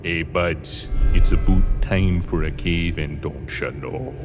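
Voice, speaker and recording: A man's voice: low-pitched, takes it slowly, music in the background. A man voicing a big, fake-friendly Canadian hunter with a folksy, chummy manner and a Canadian accent in an anime parody. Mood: sly, chummy. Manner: folksy, chummy, tagging "eh" and "don't you know". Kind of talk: comedic anime parody voice acting.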